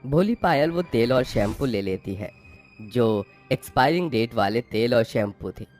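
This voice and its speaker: A man's voice: mid-range voice, expressive, moderate pace, darkish tone. A man narrating a scripted moral tale as its storyteller. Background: faint music.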